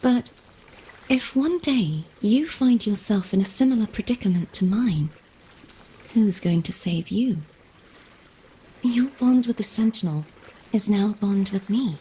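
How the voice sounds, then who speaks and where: An actress playing an earnest, resolute young maiden knight in a video game, speaking in formal, measured phrases. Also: female voice, medium pitch, lively intonation, dark tone, breathy edge, poor audio. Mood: solemn, determined.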